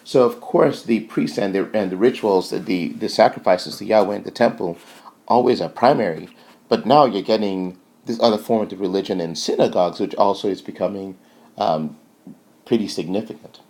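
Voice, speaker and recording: Male lecturer, low-pitched with plenty of fry, informal and halting explanatory delivery. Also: darkish tone. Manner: halting, thinking aloud, checks in with "okay" and "right".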